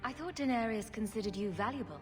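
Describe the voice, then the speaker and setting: A woman's voice: fairly high pitch, lively intonation, quick pace, over music, lightly acted. An actress playing a wry, loyal woman adventurer with a dry, sardonic way of talking in a fantasy video game. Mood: curious. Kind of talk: cinematic game dialogue acting.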